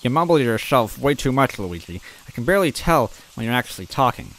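A man's voice: mid-range voice, very animated, fairly quick, a bit bright, low-quality recording. Man reading as a spunky young goomba girl. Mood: teasing.

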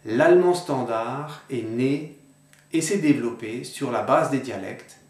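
A middle-aged man explaining to camera at a desk in an indoor room, in a measured, didactic way, addressing the viewer informally. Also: mid-range voice. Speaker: video lecturer on the dialect. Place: indoor room with books on a table. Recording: roomy echo.

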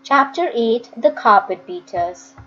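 A woman narrates a story in a high, very expressive voice. Her phrasing is deliberate and dramatic, and the home recording sounds a bit dull. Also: slight background noise. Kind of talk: expressive scripted audiobook reading.

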